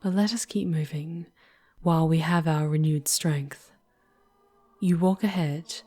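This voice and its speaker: A woman playing a charming, teasing demon guide in an ASMR roleplay, speaking intimately in formal, old-fashioned phrases with a breathy, often whispery voice. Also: low-pitched, fairly even intonation, warm tone. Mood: measured, explaining. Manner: slow, formal, old-fashioned storybook diction.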